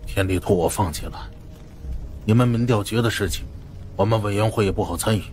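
A man's voice: lowish voice, brisk, a little mellow, faint music behind, lightly acted. A man voicing a wary, composed leader of an occult committee in an audiobook drama. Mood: resigned, composed. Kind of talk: dramatized audiobook character acting.

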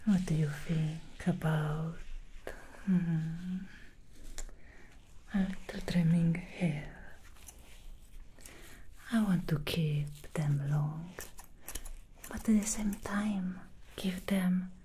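A woman voicing a seductive female demon hairstylist in an ASMR roleplay, whispering softly close to the mic with slow, soothing reassurances. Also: on the low side, fairly even intonation, slight background noise. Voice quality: soft whisper. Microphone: close sensitive ASMR mic.

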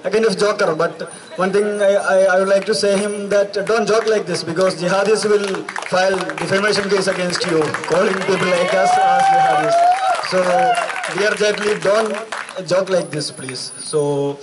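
High-pitched young Indian-accented man at a rally. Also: lively intonation, moderate pace, very noisy. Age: 20s. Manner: emphatic, repeats phrases. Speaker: student activist speaker. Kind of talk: impassioned political speech at a rally. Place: outdoor night rally stage. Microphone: handheld microphone through PA. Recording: distant PA sound with crowd around.

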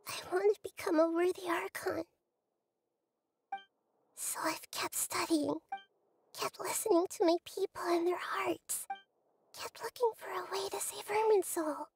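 High, hushed, sorrowful child goddess. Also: female voice, measured pace, creaky, long pauses, a little hiss or hum, exaggerated voice. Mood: sad, reflective. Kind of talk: video game voice acting.

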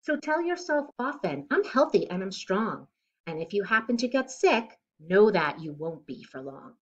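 A middle-aged woman reading a children's picture book aloud in an expressive, deliberate way, recorded indoors at home. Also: mid-range voice, dull audio. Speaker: children's storybook reader. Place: living room at home, indoors.

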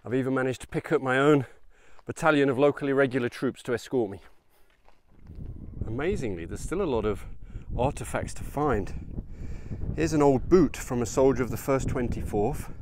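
Man, thirties, hiking vlog host, casual and wry, mid-pitched voice, faint outdoor noise. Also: normal speed. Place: outdoors in open countryside on a hiking trail. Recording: outdoor noise, open-air ambience.

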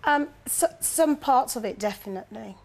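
A woman's voice: on the high side, lively intonation, moderate pace, gravelly, a little mellow. A woman giving her informal opinion of a book as a panel reviewer.

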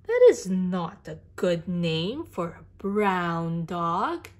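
A woman's voice: on the low side, sing-song, very slow, a little mellow, full character voice. A woman voicing a sensible, doubting young boy, an older brother in a picture book, reasoning with emphasis. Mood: reasonable, doubting.

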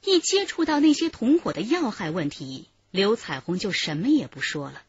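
A woman's voice: mid-range voice, wildly swooping pitch, moderate pace, slightly rough, no echo, full character voice. A woman narrating a crime radio drama in a dramatic scripted style. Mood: grave, dramatic. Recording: muffled track with music behind.

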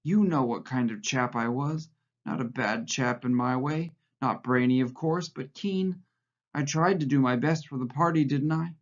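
A man's voice: medium pitch, moderate pace, natural voice. A man voicing a frightened, fawning middle-aged male prisoner who pleads eagerly and nearly blubbers. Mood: pleading. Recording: muffled lo-fi recording.

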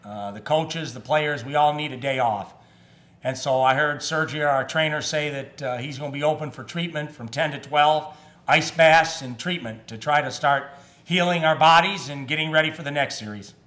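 A man in his fifties, a basketball head coach, answering reporters at a postgame press conference, measured and full of "uh" and restarts. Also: fairly high pitch, a bit bright. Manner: measured, with frequent fillers and restarts. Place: press room in front of a sponsor backdrop. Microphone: table microphone.

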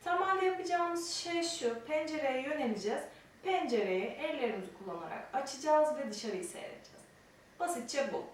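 A young woman walks her students through a mime technique, explaining each move and counting them out as she demonstrates. Her voice sits fairly high. She is caught from a distance in a strongly echoing room with a faint hiss underneath. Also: animated, fairly quick. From Turkey. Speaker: mime teacher. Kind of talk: step-by-step instruction. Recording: very echoey room, distant mic, faint noise.

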